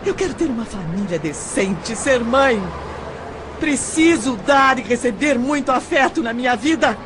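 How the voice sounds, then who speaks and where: High-voiced, earnest young Brazilian girl. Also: lively intonation, measured pace, noisy background. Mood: earnest, wounded. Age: young adult. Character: earnest, virtuous young shop girl, emotional and proud. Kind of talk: melodramatic audio drama acting.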